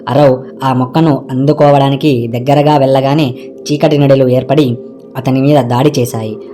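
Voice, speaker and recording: Mellow male storybook narrator over music. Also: medium pitch, fairly even intonation, normal speed. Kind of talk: scripted storybook narration for animated moral tale. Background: background music.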